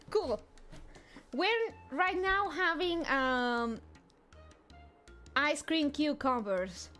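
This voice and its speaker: A woman's voice: high-pitched, animated, pushed and bright, faint music behind. A young woman streamer reading through a game story aloud, with playful, joking asides. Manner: playful, jokey, quick asides. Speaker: VTuber streamer. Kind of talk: casual game readalong commentary.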